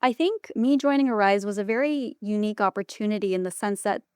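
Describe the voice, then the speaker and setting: Crisp-voiced woman answering as interview guest. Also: mid-range voice, fairly quick, darkish tone, no echo, clean studio sound. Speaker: clinician and designer, podcast guest. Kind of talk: reflective interview talk.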